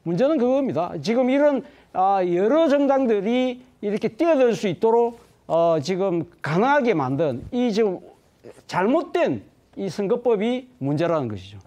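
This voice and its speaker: A male professor gives commentary on a studio panel show. His voice runs high and lively while staying mellow in tone. He explains at length and often corrects himself mid-sentence. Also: normal speed. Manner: animated, self-correcting explanation.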